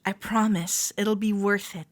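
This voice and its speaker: A woman playing a friendly, teasing club member giving a tour in a roleplay audio, speaking to the listener in a gentle, reassuring way. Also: medium pitch, fairly even intonation, a little slow, no room sound, close to an everyday voice. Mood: teasing, cozy.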